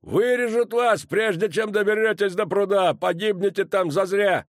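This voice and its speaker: Man doing a dwarf war leader, bellowing orders, raised strained pitch, dry close sound. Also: normal speed, no room sound. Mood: furious. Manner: roaring, cursing, barking orders. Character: fierce battle-hardened male dwarf commander. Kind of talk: audiobook character reading.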